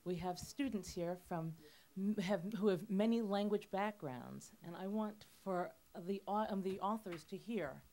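A woman's voice: mid-range voice, measured pace, mellow. A woman with a handheld mic hosting an event for students in an auditorium, giving the audience friendly, organized instructions.

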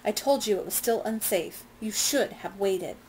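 A woman's voice: mid-range voice, moderate pace, darkish tone, a little hiss or hum, natural voice, low-quality recording. A woman reading aloud and voicing a short, round man who is fretful and scolding. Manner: agitated, scolding.

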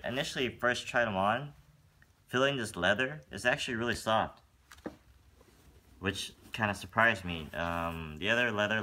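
A man talking casually through a sneaker review in a room at home, rambling a little and filling gaps with "um". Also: low-pitched. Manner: relaxed and rambling, with many fillers. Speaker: sneaker vlogger. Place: room with a wooden floor, indoors.

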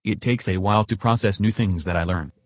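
Husky, earnest chatbot acted out by a man. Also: on the low side, fairly quick, no echo, clean studio sound, lightly acted. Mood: earnest, measured. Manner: succinct, matter-of-fact answers. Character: earnest, philosophical male chatbot being interviewed.

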